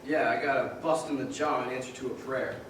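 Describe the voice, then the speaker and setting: A man's voice: mid-range voice, fairly even intonation, a little fast, recorded from a distance, natural voice. A man playing a bitter, despairing, weary man in a live radio drama, curt and sardonic. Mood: weary, sceptical. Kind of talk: live old-time radio drama acting. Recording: echoey, noisy theater stage from afar.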